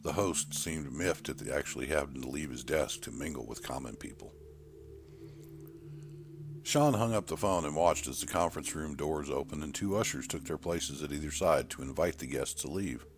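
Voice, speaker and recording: Deep-voiced man narrating a creepy story. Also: animated, moderate pace, noisy background. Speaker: narrator reading a horror short story.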